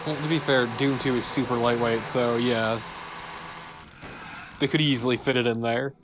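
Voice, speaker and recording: A man's voice: mid-range voice, fairly even intonation, moderate pace, background noise. Man co-commentating with dry asides. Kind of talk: casual game banter. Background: game music.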